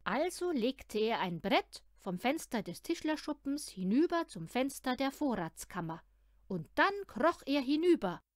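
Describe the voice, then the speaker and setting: A woman's voice: sits high, big pitch swings, unhurried, no room sound, clean studio sound. A woman narrating a children's story from a script, expressively and as if speaking to a young listener. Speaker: audiobook reader.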